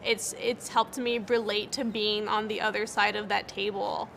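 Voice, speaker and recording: A woman's voice: mid-range voice, level tone, moderate pace, vocal fry, a bit bright, slight background noise. A young American woman who stutters, with repeated words and cut-off sounds, giving earnest, encouraging interview answers. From United States. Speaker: interviewee, speech-language pathologist.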